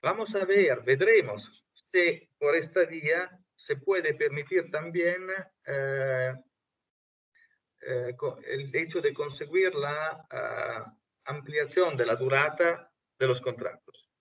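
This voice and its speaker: A man with an Italian accent, a native Italian speaker, giving an academic talk haltingly, with many fillers. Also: fairly high pitch, a bit bright. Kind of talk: academic presentation. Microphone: video call. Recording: distant lo-fi video call audio.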